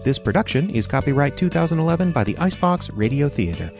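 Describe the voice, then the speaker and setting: A man's voice: fairly high pitch, expressive, fast talker, music in the background. A man reading scripted narration and announcements for a children's radio play.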